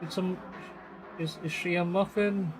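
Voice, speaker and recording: Expressive male game streamer. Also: sits high, normal speed. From Philippines. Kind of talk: game livestream, reading aloud and reacting. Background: game music.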